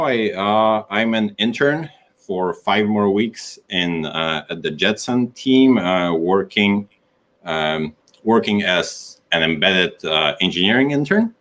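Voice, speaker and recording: A young man from Poland introduces himself slowly, with a very low voice. He is hesitant and pauses often on fillers. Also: fairly bright tone. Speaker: intern. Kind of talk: casual self-introduction.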